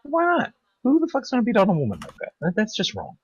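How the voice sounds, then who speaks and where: A man's voice: high voice, wildly swooping pitch, fast talker, husky, highs cut off. A man chatting casually on a group live stream in a brash, sweary, mock-ranting manner. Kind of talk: casual chat.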